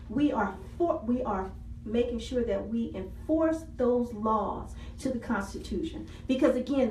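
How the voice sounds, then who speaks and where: A woman's voice: a little high, darkish tone, slight background noise. A woman council member addressing a public meeting, speaking earnestly and repeating key phrases for emphasis. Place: city council meeting room. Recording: echoey hall, voice from far off.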